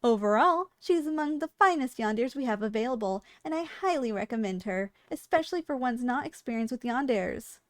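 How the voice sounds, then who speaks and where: Woman acting as high-voiced, polite female shop clerk. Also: lively intonation, a little fast, hardly any pauses, dry recording, close to an everyday voice. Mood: firm, polite. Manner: formal, courteous salesperson patter with dry understatement. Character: polite, businesslike female shop clerk selling dangerous yandere girls. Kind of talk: scripted ASMR roleplay addressed to the listener.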